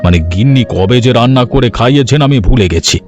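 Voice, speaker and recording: A man's voice: medium pitch, quick pace, darkish tone, faint music behind, lightly acted. A man voicing a bossy, protective village uncle in a cartoon story, with an emphatic, commanding manner. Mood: pleased, generous. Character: bossy village uncle, protective, blunt with wrongdoers, generous to kin.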